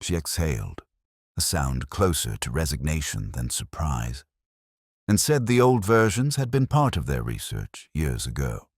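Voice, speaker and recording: Ageing, regretful detective narrator, male actor, husky with expressive swings in pitch, brooding and introspective, for a literary crime drama. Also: no room sound, studio quality, exaggerated voice. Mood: brooding, melancholy. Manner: measured, brooding, reflective. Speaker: first-person narrator.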